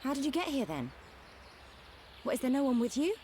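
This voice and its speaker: Direct young female companion, bright high register, swooping intonation, slight nature noise, game cutscene. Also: big pitch swings, a little fast. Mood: curious, questioning. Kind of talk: video game cutscene acting.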